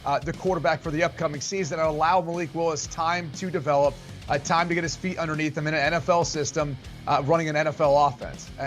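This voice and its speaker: A man giving a measured, methodical assessment of a quarterback prospect on a sports show. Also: fairly high pitch. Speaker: football analyst. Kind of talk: studio sports analysis.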